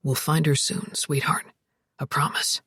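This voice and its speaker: Give this audiobook hero as a woman reader plays him a much lowered, husky voice. He is a steady, protective man who speaks firmly and directly, urgent but soothing. The sound is close and dry. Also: moderate pace, no room sound. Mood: urgent, reassuring. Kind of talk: audiobook character dialogue.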